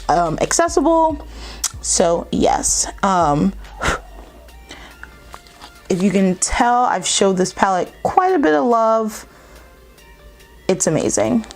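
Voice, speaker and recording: A young woman with a slight lisp, chatting casually through a makeup tutorial with plenty of fillers. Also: medium pitch. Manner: chatty, rambling, lots of fillers. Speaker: beauty vlogger.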